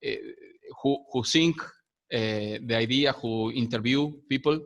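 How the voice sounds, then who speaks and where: A man hosting a video call interview, speaking slowly and searching for his words. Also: medium pitch, lo-fi sound. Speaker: interviewer, host.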